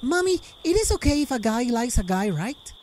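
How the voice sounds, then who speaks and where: A woman's voice: a little high, big pitch swings, brisk. A woman voicing a cheeky, childish young ninja boy in a flippant way for a parody cartoon. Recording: music loud behind the voice.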